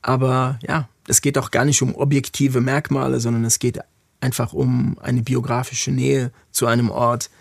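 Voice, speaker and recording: A man's voice: mid-range voice, level tone, moderate pace, no echo, clean studio sound. A man from Frankfurt, a podcast guest, talking reflectively with many hesitations and fillers. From Frankfurt, Germany. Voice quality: gravelly, husky. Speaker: interview guest. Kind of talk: conversational podcast interview.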